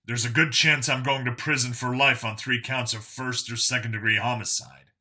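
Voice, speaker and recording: A grimly sardonic lawman in an audiobook voiced by a male narrator. His middle-pitched voice is hard and bright, and he speaks flatly and bluntly, without frills. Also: moderate pace. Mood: grim, resigned. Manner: flat, blunt, deadpan.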